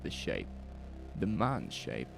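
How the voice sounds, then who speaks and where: A man narrating a horror audio drama in a suspenseful, deliberate way, with dramatic pauses. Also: a bass voice, wildly swooping pitch, gravelly. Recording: music under the voice. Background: music.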